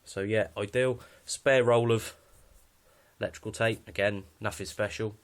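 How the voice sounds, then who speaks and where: A British man with a fairly low voice talks through his outdoor gear off the cuff. He is understated and matter-of-fact, a little hesitant as he goes, like an informal hobby video presenter. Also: steady tone, a little slow. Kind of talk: casual unscripted gear walkthrough.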